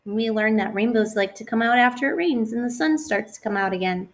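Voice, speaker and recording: A woman's voice: fairly high pitch, brisk, slight background noise. A woman giving a read-aloud lesson to young children as their teacher, instructive, stressing key words and posing questions that she answers herself. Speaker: elementary school teacher.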